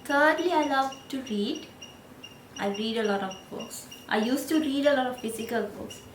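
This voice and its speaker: A woman in her thirties with a Sri Lankan accent, whose first language is Sinhala, chatting casually to the camera in a gentle, unhurried way. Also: high voice, reverberant, a little hiss or hum. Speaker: vlogger.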